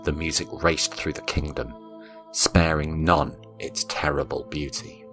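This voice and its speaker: Extremely deep-voiced man telling a grave tale. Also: little pitch movement, a little slow, fairly bright tone. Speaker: storyteller. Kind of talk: dramatic scripted story narration. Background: instrumental music.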